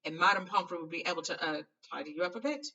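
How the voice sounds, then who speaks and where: A woman's voice: fairly low pitch, animated, fast, bright, forceful tone, distant mic, dull audio. A woman voicing a bumbling, self-assured male wizard teacher from a storybook, blustering breezily and hesitantly. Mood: flustered bluster. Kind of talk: storybook character reading.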